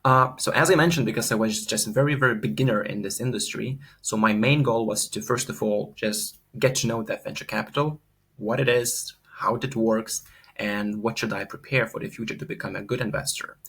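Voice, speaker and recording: A man's voice: lowish voice, brisk, creaky, fairly bright tone, breathy edge. A young man from Lithuania, giving an earnest, unscripted course testimonial full of fillers and repeated words.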